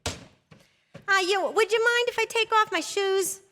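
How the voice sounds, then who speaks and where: A woman's voice: very high-pitched, takes it slowly, sharp tone, heavily acted. A woman playing a breezy, slangy young hitchhiker in a radio drama, sassy and chatty. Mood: relieved, chatty. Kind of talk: live radio drama acting. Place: theatre stage. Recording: reverberant theatre hall.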